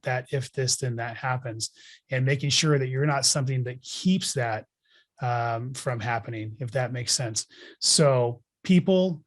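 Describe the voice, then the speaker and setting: A man in his forties talking as a guest in an interview, blunt and emphatic, giving motivational advice with casual swearing. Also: mid-range voice. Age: 40s. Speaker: podcast guest, real estate investor.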